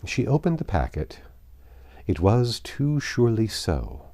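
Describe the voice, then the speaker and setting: A man narrating a classic novel aloud as an audiobook reader, in a measured, formal and expressive way with stressed phrases. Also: on the low side.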